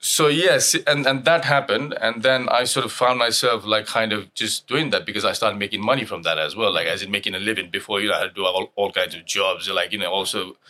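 A man's voice: on the low side, steady tone, fairly quick, hardly any pauses, bright, forceful tone. A man in his forties from Nepal, a restaurant owner and musician, telling his story in a loose, rambling chat full of fillers. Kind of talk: casual interview chat. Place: London.

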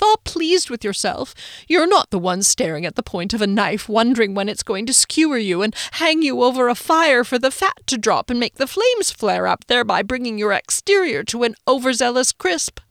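A woman voicing a timid, fussy grown man who whimpers and frets in a high, whining voice. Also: sing-song, moderate pace, no room sound, studio quality. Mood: scared whining.

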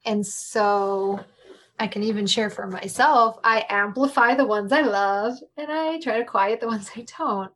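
A woman speaking as a podcast interview guest, reflective and warm in tone, laughing often and addressing the listeners directly. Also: mid-range voice, a little slow.

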